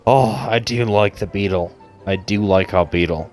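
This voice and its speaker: A woman's voice: very deep voice, normal speed, a little mellow. A woman giving casual commentary as she plays a video game, with a sardonic, teasing, mock-grumpy manner. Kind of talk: casual play-along commentary. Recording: game music behind the voice.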